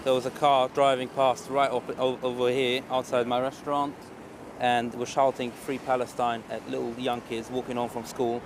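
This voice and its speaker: A man's voice: mid-range voice, level tone, moderate pace. A man interviewed on the street as a local restaurant owner, recounting incidents. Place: outdoors by a street. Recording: clear street and traffic noise.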